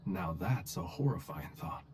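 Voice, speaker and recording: An actor voicing an unflappable, wry and courteous adventurer in a video game, with understated, formal phrasing. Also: male voice, sits low, lively intonation, measured pace, darkish tone, slightly rough, heavily acted. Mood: wry, teasing. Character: unflappable, wry, courteous male lighthouse-dwelling adventurer with a curse.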